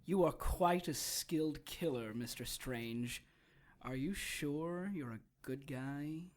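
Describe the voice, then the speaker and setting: A woman playing a sardonic, taunting supernatural villain in an audio drama, with a theatrical, drawn-out, mocking delivery. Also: sits low, darkish tone. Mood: sly taunting.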